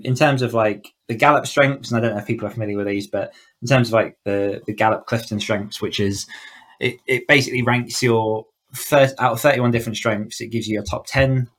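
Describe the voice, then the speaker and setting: A man's voice: lowish voice, fairly bright tone. A man speaking as an author coach in a conversational podcast interview, explaining informally with plenty of "like" and "you know". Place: indoors, in a room at home, online call.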